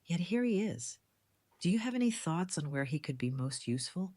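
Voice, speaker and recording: An actress playing a shrewd, composed female community leader in an audio drama, measured and articulate in manner. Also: on the low side, very animated, dark tone, no echo. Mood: gently teasing. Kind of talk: fan audio drama acting.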